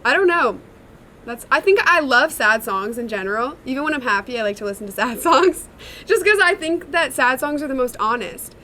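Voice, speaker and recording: A woman's voice: sits high, expressive, brisk, pushed and bright, slight background noise. A young woman, a singer-songwriter, answering interview questions in a chatty, reflective way with many fillers. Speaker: songwriter and singer in a sister duo.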